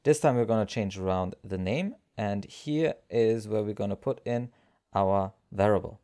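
A man narrating a software tutorial as its presenter, explaining things step by step. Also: fairly low pitch, normal speed.

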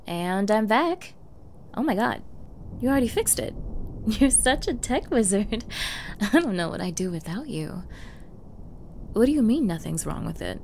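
A woman performs as a clingy young yandere woman in an ASMR roleplay, coy and eager, feigning ditzy innocence. Her voice sits at an average pitch with a creaky edge, and she stammers as if flustered. Faint noise sits behind the recording. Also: very animated, unhurried, pauses often, a little mellow. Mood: playfully ditzy. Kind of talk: ASMR girlfriend roleplay acting.

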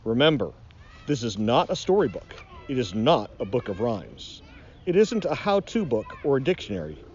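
A man reads a picture book with a lively, swinging pitch. He is theatrical and mock-ominous, telling it like a cautionary tale for children. Light outdoor noise sits behind him. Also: moderate pace, lo-fi sound. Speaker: nature educator reading aloud. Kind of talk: dramatic children's storybook reading.

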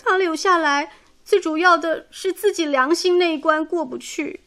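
Young idealistic woman, high pitch, earnest pleading, radio play, faint music underneath. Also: normal speed, fairly bright tone. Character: earnest, idealistic young daughter, patriotic and sensitive. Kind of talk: radio drama acting.

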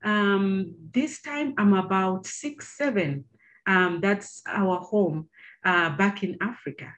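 A woman's voice: mid-range voice, takes it slowly, a bit bright, rough recording. A middle-aged Zambian woman talks through a slide presentation for students, speaking at an easy, chatty clip. She fills her sentences with ums and often turns to her listeners with questions. Her voice comes through a computer microphone on a video call. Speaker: engineer giving a talk to students. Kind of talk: informal presentation over slides.

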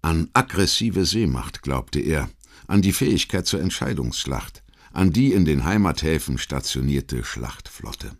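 Very deep-voiced male history narrator. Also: expressive, a little slow, gravelly. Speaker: audiobook narrator. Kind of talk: scripted documentary history narration.

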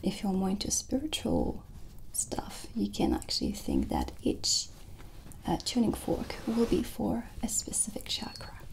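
A middle-aged woman whispers slowly and gently in ASMR style, close to the mic. Her delivery is soothing and unhurried, with some crackly fry when the voice comes through. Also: mid-range voice, huge pitch swings. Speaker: ASMR host presenting a sound healing roleplay. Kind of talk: ASMR, soft whispered explanation. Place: small dim indoor set. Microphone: close ASMR microphone.

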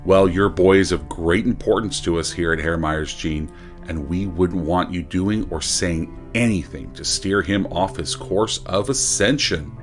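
A man's voice: sits low, unhurried, faint music behind. A man playing a menacing cult-believing asylum authority in an audio drama, patronizing and full of veiled threat. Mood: patronizing menace.

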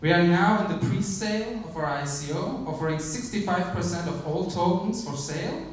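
A man giving a startup pitch on stage, measured, with frequent ums and restarts. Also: expressive, a little hiss or hum. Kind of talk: conference pitch. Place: large theater stage. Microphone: handheld mic, filmed from the audience. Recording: echoey hall from far off.

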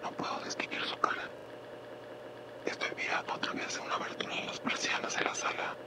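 A man's voice: a little fast, in a whisper, close to an everyday voice. A man with a Latin American accent playing an earnest, uneasy scientist leaving voicemail messages in a radio drama. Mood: whispering, fearful. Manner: earnest, preoccupied.

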